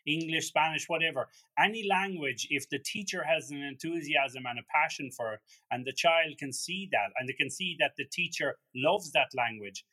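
Chatty man with a bright voice. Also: on the high side, normal speed, hardly any pauses. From Ireland. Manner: chatty, with many restarts and "you know". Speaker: podcast host. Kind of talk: casual conversation.

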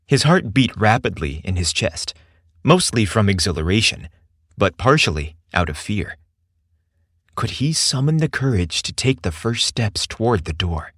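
A man hosting and narrating a scripted story in a theatrical, expressive way. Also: on the low side, normal speed, slightly rough, no echo, clean studio sound. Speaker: host and storyteller.

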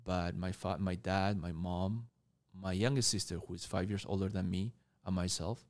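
A male interview guest with a fairly low voice tells stories in a conversational way. His delivery is halting, and he repeats words as he builds each sentence. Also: fairly even intonation. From born in Taiwan, grew up in Argentina and Canada. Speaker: mentor and coach, podcast guest.

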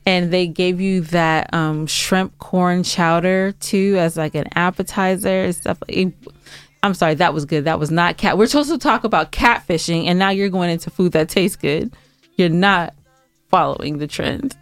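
Low-voiced, smooth American woman podcaster. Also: moderate pace, no echo, soft background music. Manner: emphatic, argumentative, dramatizing complaints. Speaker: podcast co-host. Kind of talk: casual, bantering podcast chat.

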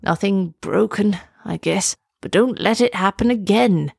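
A woman voicing a grumpy but obliging old turtle in a children's storybook, muttering and unhurried. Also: on the low side, gravelly, dry recording, studio quality.

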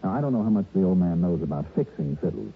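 An actor playing a brisk, wisecracking American investigator in an old radio detective drama, with punchy, slangy, insistent delivery. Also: male voice, low-pitched, sing-song, breathy edge, exaggerated voice. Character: brisk, wisecracking male insurance investigator hero. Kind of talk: 1950s-60s radio detective drama acting and narration. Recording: muffled, noisy vintage radio broadcast.

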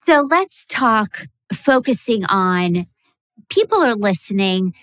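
An American woman hosting a podcast interview, asking measured, thoughtful questions. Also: medium pitch, very animated, dry recording. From United States. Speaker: podcast host.

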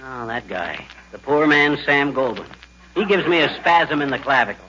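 A man's voice: medium pitch, animated, normal speed, crowd noise, natural voice. A man acting a blustering, folksy, bumbling husband with an American accent who spins tall tales ungrammatically, in 1940s radio comedy style. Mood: grumpy. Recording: dull, noisy 1940s radio recording.

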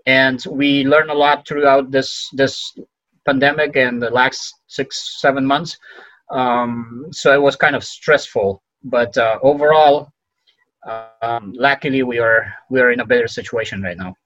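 A man whose first language is Farsi, speaking as a physician guest in an interview, halting and full of fillers and restarts. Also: mid-range voice, fairly bright tone, no echo, muffled recording. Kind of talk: conversational interview.

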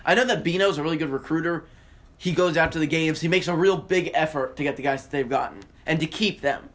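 A man's voice: on the high side, quick pace, a bit bright. An American man co-hosting a sports podcast, arguing his points emphatically and repeating phrases to drive them home in casual debate.

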